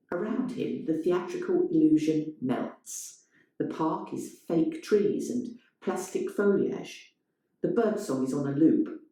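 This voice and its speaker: A woman's voice: deep voice, vocal fry, mellow. A woman reading a scripted story as dramatic narration. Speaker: reader of a play-like story. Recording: very echoey, reverberant room.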